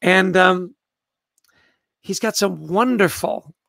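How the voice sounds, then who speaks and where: An older man from Canada hosting a casual live book club, chatting warmly about favorite books and greeting viewers by name. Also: sits high, takes it slowly, gravelly, no echo. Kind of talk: casual livestream book chat.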